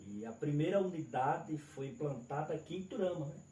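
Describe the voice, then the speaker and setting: Man, Alagoas accent, evangelist guest, plainspoken, respectful, mellow voice, roomy echo, distant from mic. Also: fairly high pitch, expressive, brisk. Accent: Alagoas Northeastern Brazilian. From Alagoas, Brazil. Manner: plainspoken, respectful, keeps addressing the pastor. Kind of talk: testimony interview answers. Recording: echoey room from afar with faint noise.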